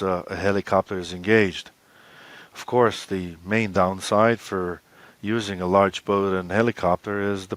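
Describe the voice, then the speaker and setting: Very deep-voiced man, halting explainer. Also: fairly bright tone, no room sound. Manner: halting, hesitant phrasing. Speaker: expedition co-leader, interview guest. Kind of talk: explanatory interview talk.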